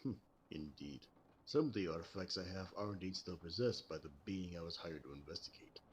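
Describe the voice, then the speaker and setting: A low, grave American voice from a man in character as an ageless detective in an audio roleplay. He explains things calmly and formally, in measured phrases, over audible background noise. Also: close to an everyday voice. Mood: composed. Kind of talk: scripted roleplay audio drama.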